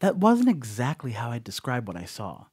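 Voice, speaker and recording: An actor playing a sheepish young stoner house-sitter in an audio horror monologue, rambling apologetically with casual asides. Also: male voice, medium pitch, huge pitch swings, moderate pace, darkish tone, a little hiss or hum. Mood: chatty, digressive.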